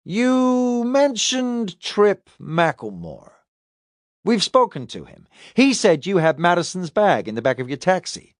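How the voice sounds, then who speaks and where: A man's voice: high-pitched, sing-song, a little slow, dry recording, studio quality, pitched above the performer's own voice. A man voicing a sarcastic police detective who probes and taunts as he interrogates a suspect in an audiobook. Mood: pressing. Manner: probing, taunting.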